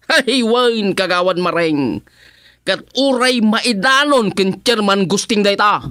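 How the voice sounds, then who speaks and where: A man's voice: high-pitched, lively intonation, takes it slowly, pushed and bright, exaggerated voice. A man with an Ilocano Filipino accent playing a loyal, money-minded village councilor in a radio comedy-drama. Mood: defensive.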